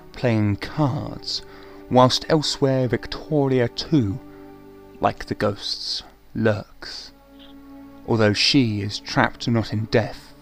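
An ominous male storyteller acted by a man narrates gothic horror with theatrical weight. He speaks slowly at a middling pitch over faint music. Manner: portentous, theatrical. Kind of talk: gothic radio play narration.

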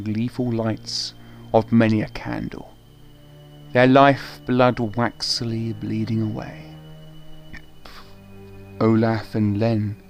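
A man narrating a gothic radio play in a deliberate, theatrical scripted style. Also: fairly low pitch. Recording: faint music behind narration.

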